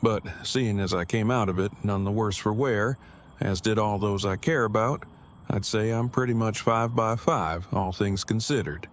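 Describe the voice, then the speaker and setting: Man playing an awkward, wordy, low-voiced survivor. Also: normal speed, a little hiss or hum, close to an everyday voice. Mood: composed. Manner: formal, wordy, stilted phrasing. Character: awkward, earnest, verbose male survivor in a zombie apocalypse. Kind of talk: audio drama acting.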